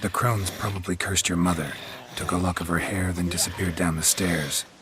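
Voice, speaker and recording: Very low voice, male actor as stoic monster hunter, terse, dry, game dialogue, rumbling background. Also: normal speed, background noise, close to an everyday voice. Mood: matter-of-fact. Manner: terse, deadpan. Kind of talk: understated video game acting.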